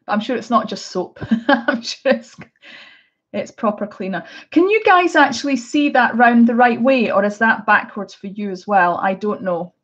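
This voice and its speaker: A woman's voice: a little high, animated, normal speed, a bit bright, lo-fi sound. A middle-aged Scottish woman chatting casually through a live unboxing, with many hesitations and restarts. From Scotland. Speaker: art vlogger.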